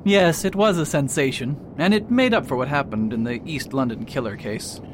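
A man's voice: moderate pace, natural voice. A man playing a proud, aloof old retired detective in a radio play, pompous and hesitant in manner. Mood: boastful. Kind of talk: amateur radio play acting. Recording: faint rumbling background noise.

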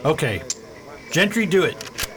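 A gruff military major, played by a man, gives orders in a fairly high voice with big swings of pitch. It is radio drama with clear noise behind. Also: sing-song, unhurried. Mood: commanding. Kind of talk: science fiction radio drama acting.

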